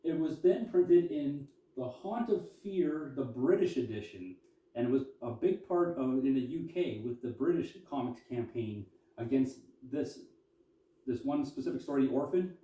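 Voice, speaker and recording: A man's voice: mid-range voice, expressive, moderate pace, mellow. A man presenting as a collector and historian, reading articles aloud and adding informal commentary. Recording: echoey room, distant mic.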